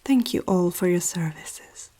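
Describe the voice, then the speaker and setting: A woman playing a powerful, seductive, possessive vampire aristocrat in an ASMR roleplay, speaking softly and often whispering, with a teasing, regal menace. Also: on the low side, animated, unhurried. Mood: cool, composed. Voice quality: soft, breathy, often dropping to a whisper. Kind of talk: ASMR roleplay, intimate slow scripted monologue.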